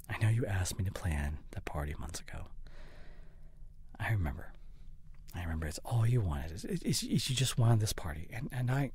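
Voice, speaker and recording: A man playing an apologetic, guilt-ridden boyfriend in an intimate audio roleplay, halting and breathy, often near a whisper, close on the microphone. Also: low voice, darkish tone, exaggerated voice. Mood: guilty, subdued, then pleading, upset. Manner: halting, stammering, earnest pleading. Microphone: close studio condenser microphone.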